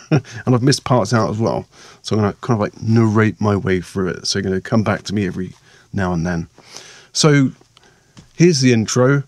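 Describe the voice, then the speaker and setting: Croaky male vlogger, lowish voice, dry close sound, rambling casual narration. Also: a little mellow, no echo. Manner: chatty, rambling, self-mocking. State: hoarse, croaky voice from a sore throat. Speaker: vlogger and meditation maker.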